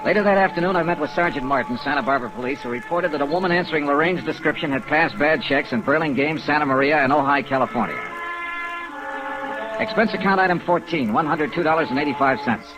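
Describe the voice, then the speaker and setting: A man's voice: fairly high pitch, fast talker, music in the background, natural voice. A man acting as a wry, patient insurance investigator in a 1950s radio drama, clipped and dry in his delivery. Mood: dry, matter-of-fact. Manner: clipped, dry, hard-boiled. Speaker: lead actor, narrator. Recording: noisy vintage 1950s radio recording.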